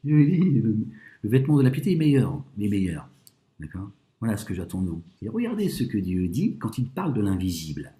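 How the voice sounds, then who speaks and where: Lively, low-voiced man in an echoey room. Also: fairly quick. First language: French. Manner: reads verses in French and Arabic, polemical, repeats "d'accord?". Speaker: religious commentator. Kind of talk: speaking to an audience about scripture.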